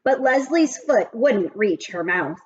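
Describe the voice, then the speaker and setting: Slow, animated woman storyteller. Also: on the high side, husky, lo-fi sound. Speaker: read-aloud storyteller. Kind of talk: expressive scripted children's story narration.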